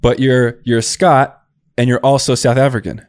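A man's voice: medium pitch, little pitch movement, normal speed, a little mellow, no echo, studio quality. A young man hosting a podcast, reading his own workshop promotion and then eagerly welcoming a guest over a remote call. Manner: enthusiastic, effusive praise. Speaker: podcast host. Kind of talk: podcast ad read and interview.

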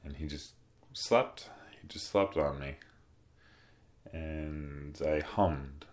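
A young man with a deep voice, casually telling a personal story as a podcast host, relaxed and rambling with soft fillers. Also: drawn-out words.

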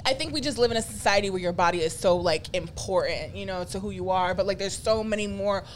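A woman's voice: mid-range voice, quick pace. Woman, podcast guest, reflective and candid, slangy, faint noise behind. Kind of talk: casual chat.

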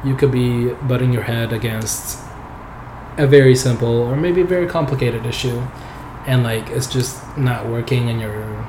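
A young man, a software developer whose first language is not English, chatting casually as a podcast guest in a loose, rambling way with lots of fillers. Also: medium pitch, moderate pace. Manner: relaxed, rambling, laughs often, many "like"s. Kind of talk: casual interview chat. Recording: echoey room with background noise.

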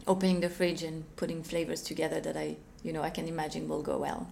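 Woman from Switzerland, young adult, low and even voice, faint hiss behind. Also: fast, gravelly. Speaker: company co-founder. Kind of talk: casual interview answers.

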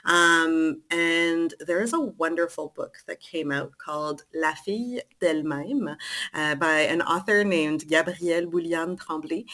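Woman, French native speaker, podcast co-host, low-ish voice, slow and measured. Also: fairly bright tone. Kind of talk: casual book recommendation chat.

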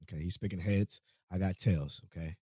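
Male podcast host, lowish voice, relaxed and rambling, slightly worn from a cold, dry close sound. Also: fairly quick, breathy edge, no echo. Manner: laid-back, rambling, laughs often. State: voice going, catching a cold. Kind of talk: casual podcast chat.

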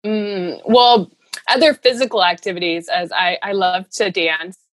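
A woman's voice: mid-range voice, steady tone, a little slow, dry recording. Earnest, chatty woman, closely recorded. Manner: earnest, giggly, rambling. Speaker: interview guest, runner and storyteller. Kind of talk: casual conversational interview.

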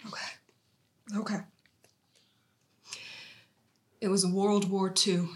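A woman's voice: medium pitch, little pitch movement, takes it slowly, a little mellow, lightly acted. A woman playing an earnest, evasive storyteller who tells borrowed tragedies melodramatically in a comedy sketch read. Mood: hesitant, anxious. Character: earnest evasive storyteller Ollie, passing off movie plots as trauma.

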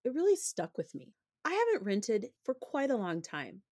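Candid woman podcast host, slightly hoarse. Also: a little high, wildly swooping pitch, normal speed, warm tone, dry recording, studio quality. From United States. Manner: candid, conversational, opinionated. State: slightly hoarse, recovering from illness. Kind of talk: personal finance podcast monologue.

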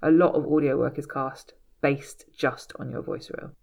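A woman's voice: a bass voice, steady tone, normal speed, vocal fry, a little mellow. A woman working as an audiobook casting director, answering interview questions in an earnest, emphatic way with many restarts and "you know"s.

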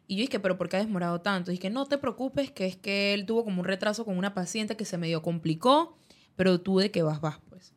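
A woman's voice: medium pitch, a little fast. A woman, a guest in an interview, recounting a personal story in conversation, who acts out the dialogue she quotes. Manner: narrative, acts out quoted dialogue, uses many fillers like "dizque". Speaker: guest telling her story.

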